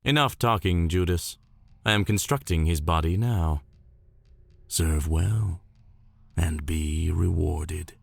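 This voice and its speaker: A man's voice: low-pitched, takes it slowly, creaky, long pauses, dry recording, exaggerated voice. A man voicing a commanding, ominous lord of hell in a visual novel, stern and imperious. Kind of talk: visual novel villain acting.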